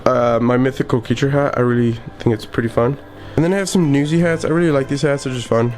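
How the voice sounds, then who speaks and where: A man's voice: medium pitch, quick pace, a little mellow, soft background music. A young adult man hosting a casual vlog from his small home office studio, talking in an upbeat, rambling way with many fillers and restarts. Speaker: vlogger.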